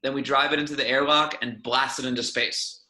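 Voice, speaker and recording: Man acting sci-fi ship captain, bright firm voice, decisive, commanding, amateur table read, roomy echo. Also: mid-range voice, steady tone, fairly quick. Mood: deliberating, firm. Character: decisive male spaceship captain giving orders.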